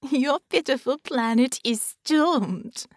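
A woman voicing a gloating, taunting female alien invader in an audiobook, with a purring, drawn-out delivery. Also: high voice, lively intonation, no echo, studio quality, pitched above the performer's own voice. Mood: gloating triumph. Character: gloating alien villainess, clawed multi-armed invader.

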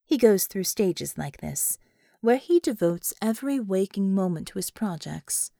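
A woman voicing a calculating female operative in an audiobook, speaking in a formal, measured and indifferent manner. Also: medium pitch, animated, vocal fry, warm tone, no room sound, studio quality. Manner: formal, measured, delicate phrasing.

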